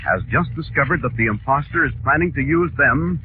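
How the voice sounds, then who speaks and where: A man's voice: medium pitch, lively intonation, fairly quick, noisy background, close to an everyday voice. A man announcing a dramatic story recap as scripted 1940s radio narration. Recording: muffled narrow-band 1940s radio recording with music.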